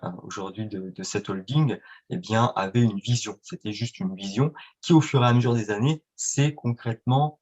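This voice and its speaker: A man's voice: lowish voice, normal speed, a little mellow, dull audio. A man explaining things at length as an interview guest, in a didactic, promotional way with rhetorical questions. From France or Italy, Franco-Italian. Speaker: guest, marketing agency representative.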